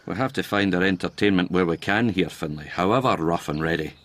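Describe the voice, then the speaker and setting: An actor in a radio drama playing and narrating as a tolerant older Scottish doctor, dry and avuncular. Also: male voice, low-pitched, fairly quick, fairly bright tone. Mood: dry, wry.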